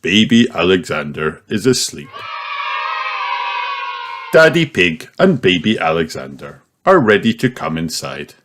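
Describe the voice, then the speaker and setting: A man reading a children's story aloud in an animated, expressive storytelling manner. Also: deep voice, measured pace, noisy background. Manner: animated, puts on character voices. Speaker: audiobook reader. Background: music.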